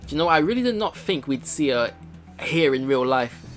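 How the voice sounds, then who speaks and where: A man plays through a story game for his viewers, reading it aloud with drama and chatting casually between passages. His voice is on the higher side and swings widely in pitch, with faint music behind it. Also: very animated, normal speed. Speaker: let's play video host.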